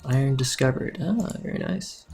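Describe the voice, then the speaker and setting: Soothing-voiced man calmly narrating his game. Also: mid-range voice, moderate pace, creaky, soft background music. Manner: calm, explaining his moves as he plays. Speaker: game streamer. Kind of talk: live game commentary.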